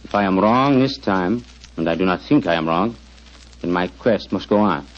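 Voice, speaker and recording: An actor playing a courteous, devoted man with a formal, measured manner in a 1940s radio drama. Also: deep voice, expressive. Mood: solemn. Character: solemn, courteous, devoted Egyptian man on a quest. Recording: muffled, lo-fi 1940s radio recording.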